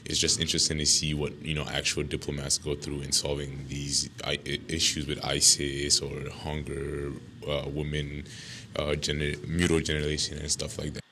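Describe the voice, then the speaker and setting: Young male interviewee, extremely low pitch, bright edge, slight background noise. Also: completely flat delivery, moderate pace. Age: young adult. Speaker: student delegate being interviewed. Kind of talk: spontaneous interview answer.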